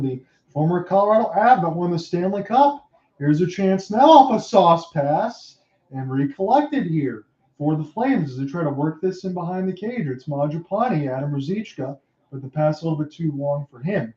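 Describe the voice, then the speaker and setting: A man calling hockey play-by-play, his calls rising sharply and quickly on scoring chances. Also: on the high side. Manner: rapid excited calls that rise sharply on chances. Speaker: play-by-play announcer. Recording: dull lo-fi roomy home mic.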